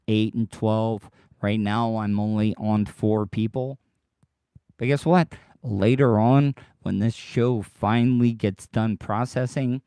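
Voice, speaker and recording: A man hosting his own podcast livestream, rambling and repeating himself as he talks straight to his listeners, his voice worn deeper than usual by tiredness. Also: dark tone, no echo, studio quality. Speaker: podcast host. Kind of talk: casual solo livestream monologue.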